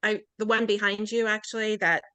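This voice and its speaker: A woman's voice: medium pitch, flat delivery, fairly quick, pushed and bright, clean tone, dry recording. A woman joining a knitting video call, chatting casually and laughing between sentences. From Pennsylvania, USA. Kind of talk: casual chat.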